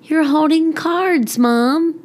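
A woman's voice: high-pitched, slow, pitched above the performer's own voice. A woman voicing an eager, literal-minded little schoolgirl in a storybook, exclaiming and inquisitive. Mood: questioning. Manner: bright-eyed, exclaiming, inquisitive.

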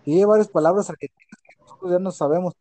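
A middle-aged man from Jalisco with a Mexican accent, chatting casually and telling animated stories full of crude slang. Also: sits high, quick pace, no echo. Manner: animated storyteller who acts out quotes, with crude slang. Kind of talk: casual chat.

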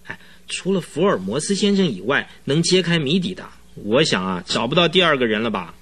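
An actor playing an agitated, talkative male client who recounts eerie events in a halting, rambling way in a radio drama, with a husky voice. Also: mid-range voice, big pitch swings, a bit bright. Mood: perplexed. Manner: rambling, halting, full of interjections. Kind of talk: Chinese radio drama acting.

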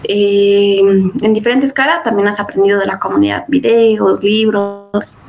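A woman's voice: medium pitch, fairly even intonation, moderate pace, gravelly, low-quality recording. A young woman, a podcast guest, chatting casually and retelling events with many fillers and quoted asides. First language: Spanish. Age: young adult. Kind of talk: casual interview storytelling.

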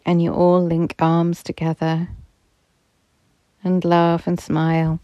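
A woman guides a visualization meditation with a soft, soothing manner, her voice low-pitched and warm. She speaks very slowly, letting each phrase settle, and at times sinks nearly to a whisper. The recording is close and dry, with no room sound. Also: completely flat delivery, no echo, dull audio.

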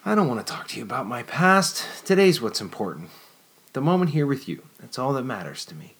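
A man reading aloud, voicing an excitable, dreamy young woman with a high, breathless, stammering voice. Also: brisk. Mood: wonderstruck.

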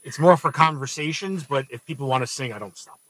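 A man's voice: mid-range voice, fast talker, a bit bright, husky, dry recording, rough recording. A man with a New York accent driving a rideshare car and chatting in a laid-back way with dry humor. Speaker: rideshare driver and podcast host. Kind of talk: casual chat.

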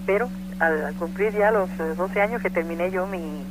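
An older woman with a Cuban accent, a singer being interviewed over the phone, remembering warmly and laughing easily. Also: on the low side, normal speed. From Cuba. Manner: warm, reminiscing, laughs easily. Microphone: phone line. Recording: noisy phone line.

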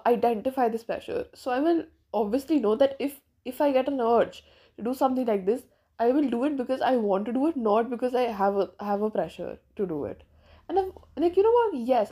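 A nineteen-year-old woman from India talks through her own podcast episode, circling back and restarting her thoughts as she goes. Her voice sits a little high but has a mellow, warm tone. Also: breathy edge. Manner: rambling, thinking aloud with many fillers and restarts. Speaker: podcast host. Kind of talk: casual solo podcast monologue.

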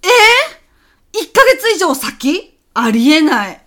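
A woman's voice: sits high, sing-song, takes it slowly, fairly bright tone, exaggerated voice. A woman playing an outspoken, easily exasperated housewife calling a support line in a comedy audio drama. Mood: indignant.

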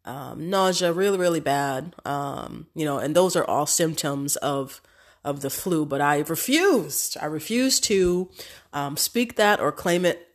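A woman's voice: sits low, measured pace. A woman hosting a casual solo podcast, congested from a cold, chatty and affirming with many fillers. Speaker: podcast host.

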